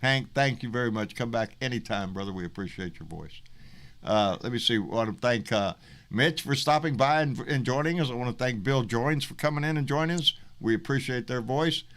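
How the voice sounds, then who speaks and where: A man's voice: mid-range voice, a little fast, a bit bright. A man hosting a casual live-stream, folksy and rambling, repeating his words. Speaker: host.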